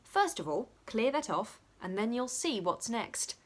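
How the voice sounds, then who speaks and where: A woman voicing a jaunty, kindly English gentleman in a storybook, with a breezy, declamatory manner. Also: a little high, very animated, unhurried. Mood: genial instructing.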